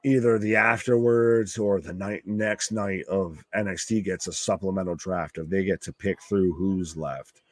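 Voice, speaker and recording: A man hosting a wrestling live stream, with a gravelly, worn voice and a casual, rambling, stop-start way of talking. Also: deep voice, fairly even intonation, unhurried, dry recording.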